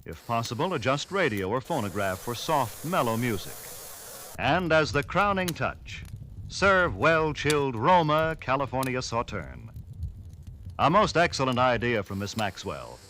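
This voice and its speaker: A man announcing in a polished, formal 1940s radio style, selling with measured, deliberate phrasing. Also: medium pitch, very animated, a bit bright. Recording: hissy vintage radio broadcast.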